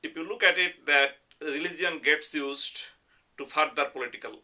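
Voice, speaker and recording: A middle-aged or older man with a Bengali accent, giving a reflective interview in a measured, hesitant way with careful qualifications. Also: fairly high pitch, pushed and bright. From Bangladesh. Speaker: interviewee, professor. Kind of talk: reflective oral history interview.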